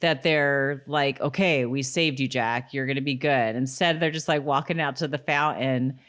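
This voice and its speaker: Low-voiced woman chatting casually. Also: fairly even intonation, moderate pace, fairly bright tone. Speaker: podcast co-host. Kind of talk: casual TV recap chat.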